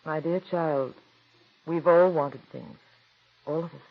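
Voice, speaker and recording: An actress voicing a worldly, frank middle-aged woman who also narrates, in a wry, crisp 1940s radio delivery. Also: sits low, steady tone, slow pace, full character voice. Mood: stern. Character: worldly female playwright's wife, wry and frank. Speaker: narrator and character. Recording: dull 1940s radio broadcast with faint music.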